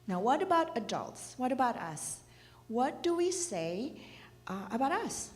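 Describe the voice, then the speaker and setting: A middle-aged woman from Indonesia gives a reflective church message, speaking gently and asking the listeners questions. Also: fairly high pitch, big pitch swings, measured pace. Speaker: children's program director giving a church message. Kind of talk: reflective sermon talk. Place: church hall. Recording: reverberant church hall.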